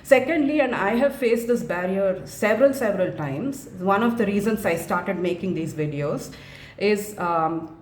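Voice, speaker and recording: A woman in her forties from India speaks frankly and thoughtfully as a mental health advocate, pausing often on "uh" and "um" in a reflective monologue. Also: mid-range voice, lively intonation, a little hiss or hum. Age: 40s. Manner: thoughtful, frank, many "uh" and "um" pauses. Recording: echoey room.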